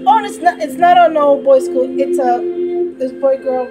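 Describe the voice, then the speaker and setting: A woman's voice: high voice, moderate pace. A woman in her thirties giving a casual, spontaneous reaction to a film at home, in an emotional, exclaiming manner. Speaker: reaction video host. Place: small room at home, indoors. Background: film soundtrack music.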